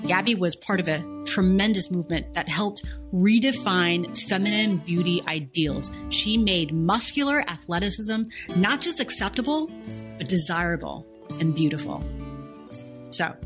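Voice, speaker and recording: A woman's voice: lowish voice, moderate pace, over music. A woman in her forties hosting a podcast, moving from a prepared introduction into eager interview questions. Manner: enthusiastic, warm questioning. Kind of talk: scripted intro then interview. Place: guest's home.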